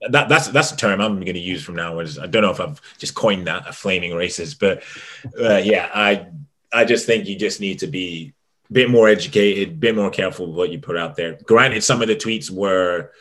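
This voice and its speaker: A man's voice: deep voice, fairly quick, fairly bright tone. A man co-hosting a casual sports podcast, rambling with frequent restarts and easy laughs. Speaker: podcast co-host.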